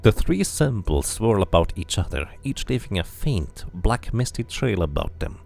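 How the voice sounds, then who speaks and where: A man's voice: lowish voice, sing-song, moderate pace, gravelly, darkish tone. A man streaming a casual playthrough, reading the game's narration aloud and chatting between passages. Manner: reads narration aloud, then chats casually and laughs.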